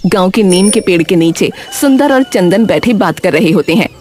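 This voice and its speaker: A woman's voice: medium pitch, lively intonation, normal speed, darkish tone, soft background music. A woman narrating a scripted dramatic story as its storyteller.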